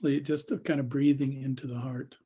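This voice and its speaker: A man with a husky voice leading an unscripted guided meditation as a teacher, speaking haltingly with long pauses and trailing phrases. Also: mid-range voice, flat delivery, dark tone.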